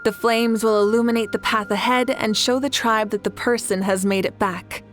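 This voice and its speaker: An actress playing a confident, caring female leader who speaks in a calm, reassuring way. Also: on the high side, normal speed, faint music behind, natural voice.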